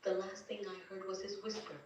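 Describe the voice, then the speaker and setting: A woman voicing a terrified, grieving wife, telling her story in slow, dramatic first-person narration. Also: mid-range voice, monotone, close to an everyday voice. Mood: haunted, fearful. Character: terrified, grieving young wife recounting abuse from beyond death. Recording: distant, very echoey, faint noise.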